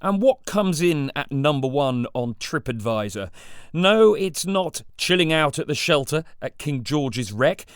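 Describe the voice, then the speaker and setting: A man's voice: on the high side, expressive, a little slow, clean tone, dry recording, studio quality. A man hosting a local podcast, reading a jokey upbeat intro and then interviewing a guest. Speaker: podcast host and producer.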